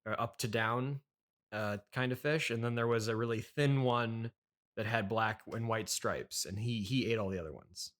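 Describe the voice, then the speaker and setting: A man's voice: mid-range voice, moderate pace, a bit bright. Man in his thirties, dryly pedantic. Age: 30s. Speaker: podcast host and interviewer. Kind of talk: casual improvised comedy interview.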